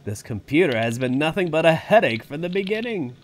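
A man's voice: fairly high pitch, big pitch swings, a little fast, a little hiss or hum. A man playing a domineering, irritable old billionaire park founder in an audio drama, scolding and emphatic. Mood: irritated.

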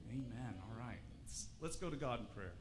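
A man's voice: medium pitch, lively intonation, a little fast. Man giving a children's sermon in a church hall. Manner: friendly, questioning, many fillers and restarts. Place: church sanctuary, children seated in front. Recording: church hall reverb, faint room noise.

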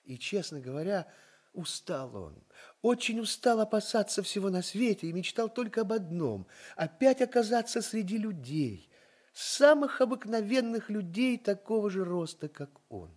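A man narrating a radio play as a storyteller, with an expressive, confiding, dramatic delivery. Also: sits high, moderate pace.

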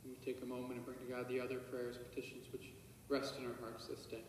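A male priest saying Mass at the altar in a measured, solemn tone, heard from a distant camera in a large church. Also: medium pitch, completely flat delivery. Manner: measured, solemn liturgical recitation, quiet murmured private prayers. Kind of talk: celebrating Mass, reciting liturgical prayers. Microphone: far camera. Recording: echoey church from far off, faint hiss.

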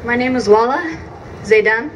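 A woman's voice: a little high, expressive, normal speed. A young woman from Iraq performing spoken word poetry at an outdoor rally with intense, rhythmic emphasis, heard through a PA from a distance. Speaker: poet. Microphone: handheld mic through PA, filmed from a distance. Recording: distant outdoor PA with faint noise.

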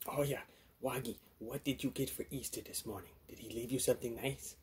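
A man voicing a friendly, childlike little monster puppet, excitable and easily flustered, exclaiming in repeated phrases. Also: mid-range voice, fairly quick, breathy edge, pitched above the performer's own voice. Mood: excited. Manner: repetitive, wide-eyed exclaiming. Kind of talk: children's puppet show skit.

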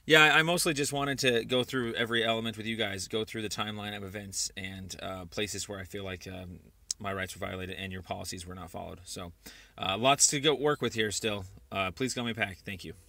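A man leaving a rambling voicemail, speaking in a hesitant, halting but insistent way, on a recorded phone call. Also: on the low side, steady tone, vocal fry, pushed and bright.

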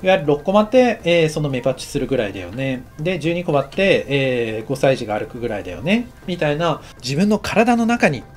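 A man teaching anime directing in a relaxed tutorial voice, acting out footsteps and sound effects as he explains. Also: a little high, a little fast, hardly any pauses, faint music behind. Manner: lively, acts out sounds and footsteps. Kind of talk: casual tutorial narration.

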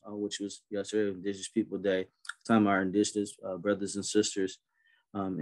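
A man's voice: sits low, a little mellow. A man moderating an online prayer event, talking loosely with many fillers. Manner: loose, chatty, many fillers and light laughs. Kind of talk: casual hosting on a video call. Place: home, indoors, video call. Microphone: webcam on a video call.

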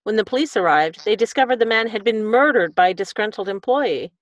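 An older woman acting in a radio drama as a smooth-talking Edwardian lady con artist, gushing and breezy. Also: mid-range voice, expressive, brisk, no room sound, natural voice. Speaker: radio play actor. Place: indoor room on a video call.

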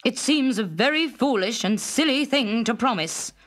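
Storybook king, woman performer: stern father, booming, deliberate, highly inflected delivery. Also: expressive, fairly bright tone. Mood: grave admonishing. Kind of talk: storybook character acting.

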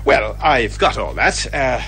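A man's voice: mid-range voice, big pitch swings, slow pace, bright, forceful tone, soft background music, full character voice. A man playing a bumbling, genial police inspector who rambles hesitantly and folksily in a radio comedy drama. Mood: affable, flustered.

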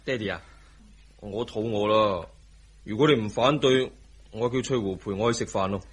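An actor playing a cheeky, coaxing young son and protective husband in a radio drama, with a slightly husky voice. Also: male voice, lowish voice, moderate pace. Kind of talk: Cantonese radio drama acting.

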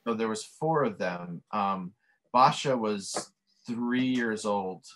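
A man's voice: fairly low pitch, slow pace, noisy background. A middle-aged man, a film director, answering interview questions casually over a video call from a home office, with many fillers. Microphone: webcam video call.

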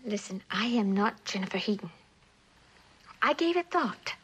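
A woman acts a streetwise young woman from a vintage television suspense drama. Her voice sits at an average pitch. She talks bluntly in street slang, tough and defiant, with a wisecracking edge. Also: animated, moderate pace, a little hiss or hum, natural voice, poor audio. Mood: uneasy, defiant.

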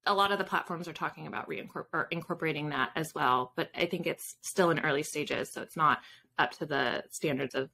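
A woman's voice: low voice, a little fast, gravelly, sharp tone. A woman in her thirties chatting casually as an interview guest, explaining things in a rambling way with asides. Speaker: guest author. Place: home room with bookshelves, indoors. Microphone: video call.

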